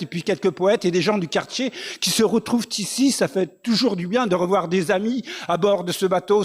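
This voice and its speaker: A man performing spoken-word slam poetry in a lyrical, rhythmic delivery. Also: sits high. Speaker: slam poet.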